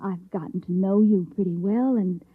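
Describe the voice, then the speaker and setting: Woman acting breathy, coaxing secretary on old radio. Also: mid-range voice, moderate pace, lightly acted. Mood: tender, coaxing. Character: solicitous young secretary coaxing her boss with soft devotion. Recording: thin, narrow-band vintage radio recording.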